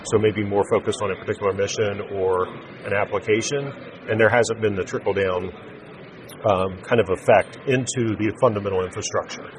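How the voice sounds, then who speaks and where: A low-pitched man answers interview questions in a measured, corporate way. He speaks off the cuff, explaining things step by step. There is clear urban background noise around him. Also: steady tone. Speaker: interview guest, telecom sales executive. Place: busy naval trade show event.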